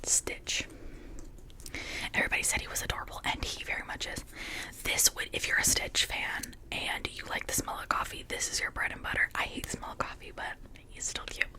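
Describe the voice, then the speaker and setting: Woman doing asmr, all breathy whispering, casual chatty rambling. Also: a little fast. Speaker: ASMR creator showing and unboxing toys.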